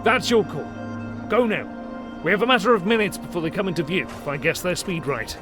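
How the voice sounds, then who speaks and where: A gruff cavalry captain from a fantasy war story, acted out by a man. The voice is pitched up and urgent, giving orders decisively over prominent music. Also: expressive, fairly quick. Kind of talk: dramatised fantasy audiobook character.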